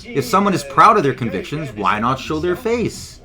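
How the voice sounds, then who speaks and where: A man comments on protest footage with an emphatic, indignant edge. His voice sits a little high for a man and swings widely in pitch as he drives each point home. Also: big pitch swings, moderate pace, over music. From Canada.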